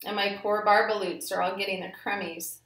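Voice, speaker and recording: A woman's voice: medium pitch, little pitch movement, a little fast, a bit bright, natural voice. Scolding, indignant old storybook creature, played by a woman, emphatic rhyming delivery, echoey room. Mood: indignant, sorrowful. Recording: roomy echo.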